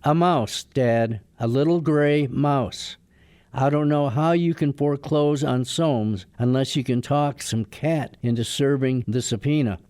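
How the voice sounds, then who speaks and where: A man playing an awkward, earnest, lovestruck young lawyer with a gently rebellious streak in a radio drama. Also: medium pitch, a little slow, lightly acted. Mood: cheeky, defiant.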